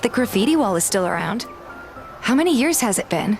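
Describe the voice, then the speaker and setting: A woman's voice: on the high side, very animated, fairly quick. A woman playing a pouty, loyal young heroine who complains playfully in a fan dub of a romance game. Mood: nostalgic, teasing. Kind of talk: fan dub dialogue with first-person narration. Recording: clear background music.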